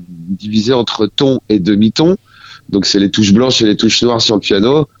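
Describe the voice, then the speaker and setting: A lower-voiced man in his forties, a musician talking as a radio guest. He speaks in a halting, thoughtful way and often stops to search for words. His voice comes over a dry, slightly muffled remote line. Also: dry recording. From France. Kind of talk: casual radio interview.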